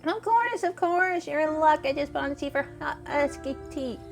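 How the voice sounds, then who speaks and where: A woman's voice: sits high, lively intonation, moderate pace, vocal fry, soft background music, pitched above the performer's own voice. A woman voicing a kindly, gossipy older spiritualist lady in a video game, with a genteel, folksy manner full of endearments. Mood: warm, welcoming.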